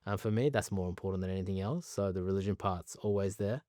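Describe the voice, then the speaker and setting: A man's voice: sits low, fast, dark tone, dry recording, clean studio sound. A man in his thirties with an Australian accent, born in Pakistan, talking candidly as an interview guest, earnest and chatty, sometimes laughing at himself. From Pakistan, raised in Sydney. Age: 30s.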